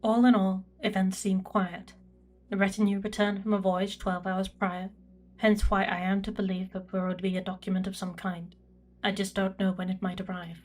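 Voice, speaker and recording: Woman as a formal, even-toned imperial archivist. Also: medium pitch, close to an everyday voice. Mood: musing, formal. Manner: formal, measured, clinical. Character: reserved female imperial records prefect, formal and precise. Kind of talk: scripted log narration in sci-fi audio drama.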